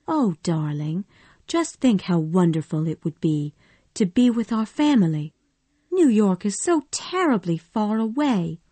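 A woman voicing a gentle, devoted young wife and mother in a storybook reading, with a soft, tender manner. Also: mid-range voice, big pitch swings, measured pace, no echo. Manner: soft and gentle, growing firm.

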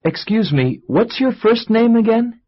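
A courteous young man in a language-course recording, speaking slowly and carefully with a husky, expressive voice. The old tape sound is slightly dull. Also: extremely high pitch, exaggerated voice. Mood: polite. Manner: polite, clearly enunciated model delivery. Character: polite young man Tom. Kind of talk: scripted textbook dialogue and drills. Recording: muffled lo-fi tape.

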